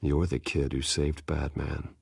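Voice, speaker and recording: A man playing a brooding, protective masked vigilante in a video game, speaking gravely and tersely in a gravelly growl. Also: extremely low pitch, flat delivery, a little slow, heavily acted. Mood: solemn, reassuring. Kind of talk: dramatic video game acting. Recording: loud music under the voice.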